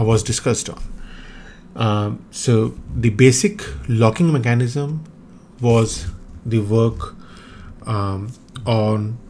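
A man's voice: lowish voice, darkish tone, a little hiss or hum. A man whose first language is Hindi, explaining his own 3D printer tool changer design in a screen-recorded walkthrough, hesitant with many ums and restarts.

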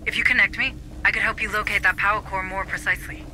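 A man's voice: high-pitched, fairly even intonation, fast talker, sharp tone, poor audio. A man playing a serious tech officer in a video game, briefing marines in a matter-of-fact way. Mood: focused, helpful. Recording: faint background noise.

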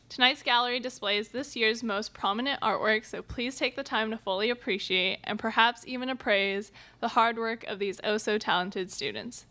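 Woman acting a bright, formal event announcer. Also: a little high. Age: young adult. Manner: formal, gracious. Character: polite, formal female announcer at an art exhibition. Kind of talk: scripted announcement in student radio play. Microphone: stage microphone on stand.

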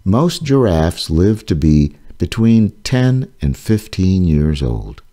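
Slow, low-voiced man reading aloud. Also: sing-song, dark tone. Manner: slow, careful, clearly paced reading. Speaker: author reading his own children's book. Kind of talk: read-aloud narration for children.

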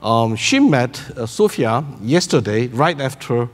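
A man in his sixties with a Korean accent, giving a conference keynote in halting English, searching for words. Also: mid-range voice, very animated. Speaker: keynote speaker, robotics researcher. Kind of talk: conference presentation. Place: large conference hall on stage. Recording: echoey hall through PA.

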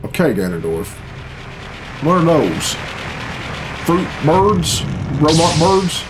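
A man's voice: fairly high pitch, sing-song, slow pace, poor audio. A man in his thirties giving casual, profane and sardonic reaction commentary in a small room. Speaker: reaction video host. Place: small bedroom, indoors. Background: video game cutscene music.

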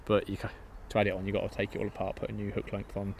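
Fast-talking angler, low voice. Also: male voice, completely flat delivery, creaky, a little mellow. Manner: quick, practical explaining. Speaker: match angler guest. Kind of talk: casual explanation while fishing. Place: outdoors on a riverbank. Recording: outdoor recording, faint noise.

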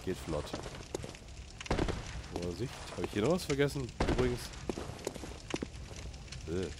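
A man's voice: lowish voice, expressive, unhurried, heavy background noise. A man with a slight cold and a sniffle, chatting casually to viewers while he plays and reacts aloud to the game. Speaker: let's play gamer. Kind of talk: casual gameplay commentary.

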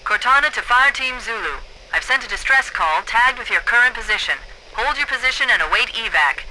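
A woman voicing a brisk, commanding military artificial intelligence over a radio filter in a video game, with clipped delivery. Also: on the high side, lively intonation, bright, forceful tone. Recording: tinny, hissy military radio transmission.

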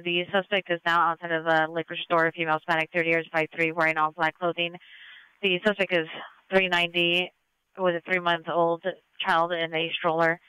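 A man's voice: on the high side, pushed and bright, clear, resonant tone, no echo. A man working as a police dispatcher, giving clipped, procedural calls over a muffled scanner radio.

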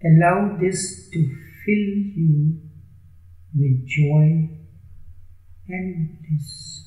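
A man leads a guided meditation in a somewhat high, very mellow voice. He speaks in short phrases with long pauses between them. The sound is roomy and echoing.

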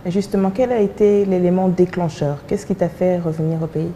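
A woman's voice: lowish voice, fairly even intonation, fairly quick, warm tone, a little hiss or hum, poor audio. A young woman hosting a TV talk show, introducing and questioning a guest. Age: young adult. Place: TV studio.

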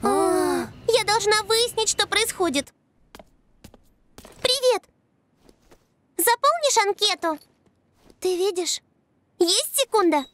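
Very high-voiced determined schoolgirl. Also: expressive, takes it slowly, pauses often, fairly bright tone, full character voice. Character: determined, inquisitive little schoolgirl. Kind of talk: animated series dub acting.

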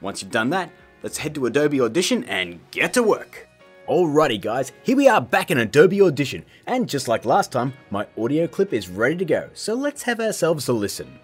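A man's voice: medium pitch, big pitch swings, normal speed, breathy edge, soft background music. A man in his thirties hosting a filmmaking tutorial in a playful, casual, emphatic way. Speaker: host of a filmmaking tutorial show. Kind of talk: playful scripted tutorial to camera.

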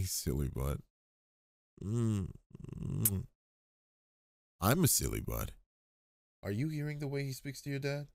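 A man playing an affectionate, protective, gently teasing boyfriend in an intimate roleplay ASMR audio. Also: low voice, gravelly, dry recording, clean studio sound, exaggerated voice. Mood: playful teasing.

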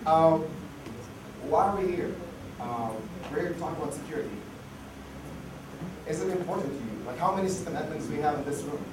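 A man's voice: fairly high pitch, fairly quick, pauses often. A man giving a casual conference talk full of fillers and restarts, while losing his voice. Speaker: conference speaker. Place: conference hall. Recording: echoey hall, far mic, noisy.

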